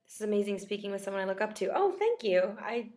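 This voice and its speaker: A woman's voice: mid-range voice, sing-song, quick pace, darkish tone. A young woman who is losing her voice, chatting casually on a livestream and reading out viewers' questions in a self-deprecating way. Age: young adult. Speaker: actress chatting with fans on a livestream. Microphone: laptop webcam at close range.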